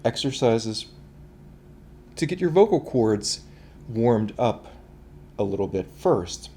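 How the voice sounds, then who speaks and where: A man talking through voice and breathing exercises in a relaxed, conversational way. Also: mid-range voice, slow pace, a little hiss or hum. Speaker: voice coach. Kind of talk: instructional talk.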